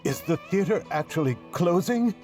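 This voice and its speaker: An actor playing a loyal, blunt young troupe member who talks in an emphatic, sarcastic way. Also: male voice, high-pitched, expressive, normal speed, darkish tone, faint music behind. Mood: indignant. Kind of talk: video game voice acting.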